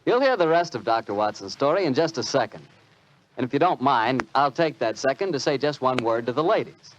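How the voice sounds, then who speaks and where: An American man working as a radio announcer, giving a chatty, persuasive sponsor pitch in 1940s style. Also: animated, a little fast, a little hiss or hum. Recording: dull 1940s radio recording.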